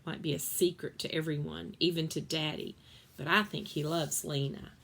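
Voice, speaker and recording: A woman reading a children's novel aloud in an expressive storytelling style. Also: low voice, normal speed.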